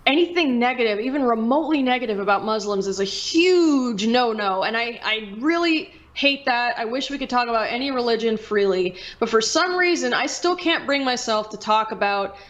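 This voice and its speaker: A woman's voice: a little high, animated, moderate pace, hardly any pauses, a bit bright, dull audio. A woman chatting casually and candidly as a podcast host, opinionated and swearing freely.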